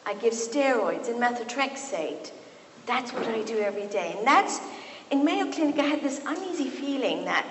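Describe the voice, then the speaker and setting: A middle-aged woman from Kenya, a physician giving a lecture to an audience in a university hall, telling stories with lively asides. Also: on the high side, normal speed. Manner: warm and storytelling, with lively asides. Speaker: physician and speaker. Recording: echoey hall, faint noise.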